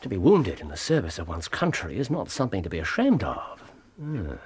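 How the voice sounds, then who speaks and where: An English actor playing a brisk, eccentric, perceptive gentleman detective in a radio drama, abrupt and theatrical, muttering to himself. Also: low voice, huge pitch swings, breathy edge, heavily acted. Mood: assertive, probing.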